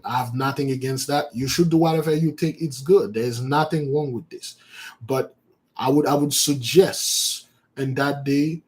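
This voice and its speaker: A man with as his giving an informal spiritual talk to his followers, animated, repeating words and calling out to his listeners. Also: medium pitch. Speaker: spiritual teacher.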